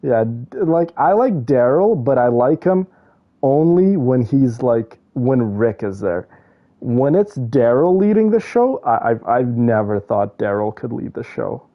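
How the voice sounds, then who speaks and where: A man streaming a game and chatting with his viewers. His mid-pitched voice is creaky with fry, and he rambles through opinions in casual slang. The sound is a little dull. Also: sing-song, measured pace. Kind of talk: casual chat with viewers while playing.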